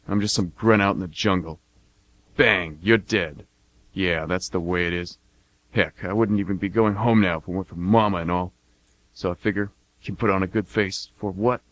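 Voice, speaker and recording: Low male voice, acted, blunt war veteran turned mercenary, folksy, cocky, self-justifying, radio play monologue. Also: no room sound, lightly acted, rough recording. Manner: folksy, plain-spoken, cocky.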